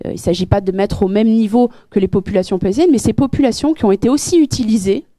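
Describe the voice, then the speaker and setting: Woman, guest speaker walking through slides; warm low-toned voice, earnest, lots of hesitant restarts, dry close sound. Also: fast talker, no echo. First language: French. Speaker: guest speaker at a public debate. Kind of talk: explanatory lecture with slides.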